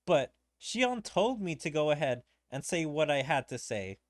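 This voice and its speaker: A man's voice: fairly high pitch, big pitch swings, a little slow, dry recording, clean studio sound. A man voicing a proud young male warrior, reading game dialogue aloud in a grumbling, defensive way.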